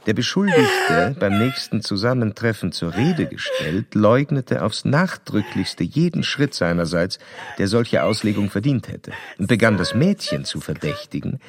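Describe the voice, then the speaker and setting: An actor playing a detached, authoritative analyst doctor in a radio drama, speaking in formal case-report language. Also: male voice, lowish voice, sing-song, a little slow, background noise.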